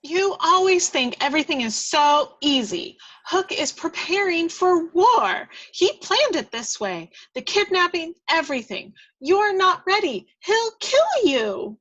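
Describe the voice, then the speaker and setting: A woman's voice: very high-pitched, big pitch swings, unhurried, few pauses, a bit bright, muffled recording, voice pushed up, exaggerated voice. A woman voicing a bossy, exasperated tiny fairy, scolding in emphatic bursts at an amateur table read. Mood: urgent warning.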